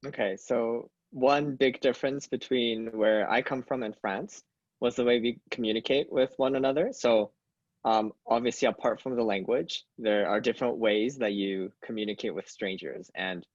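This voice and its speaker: A man's voice: medium pitch, moderate pace, dry recording, lo-fi sound. A young man from Canada chatting casually on a video call, hesitant with many fillers and restarts. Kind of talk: casual group chat.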